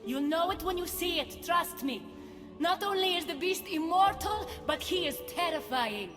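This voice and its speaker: A woman's voice: very high-pitched, expressive, moderate pace, over music. A woman playing a haughty, scornful goddess queen in a video game, speaking with imperious poise. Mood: haughty, disdainful. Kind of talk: video game cutscene acting. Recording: echoing hall with long reverb.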